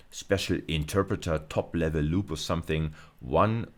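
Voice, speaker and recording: A man giving an informal technical video lecture as a university lecturer, explaining step by step in a halting way and often rephrasing himself. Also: rumbling low.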